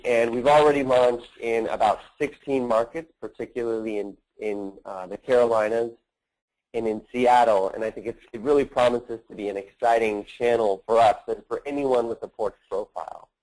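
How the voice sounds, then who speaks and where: Man presenting a halting product demo. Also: medium pitch, a little mellow, low-quality recording. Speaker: company representative presenting a demo. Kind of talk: conference call product demo.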